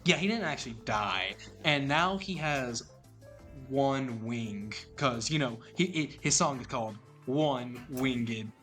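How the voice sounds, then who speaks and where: A man co-hosting a casual gaming podcast, talking in an animated, joking way. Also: medium pitch, slow, faint music behind. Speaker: podcast co-host.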